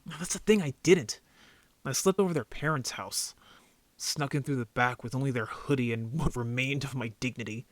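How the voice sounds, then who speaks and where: Male actor as young werewolf, audio roleplay, medium pitch, casual, halting, earnest, easily embarrassed, defensive, dry close sound. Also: no echo, close to an everyday voice. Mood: sheepish.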